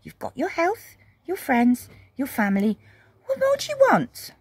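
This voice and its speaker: A woman voicing a brisk, dismissive mother in a children's storybook, speaking emphatically. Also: high voice, wildly swooping pitch, husky, pitched above the performer's own voice, heavily acted. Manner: emphatic, rhetorical.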